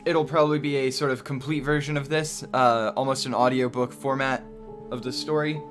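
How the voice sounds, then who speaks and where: A man's voice: mid-range voice, steady tone, brisk, a bit bright, soft background music. A man co-hosting with casual announcements in a hesitant way. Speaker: co-host.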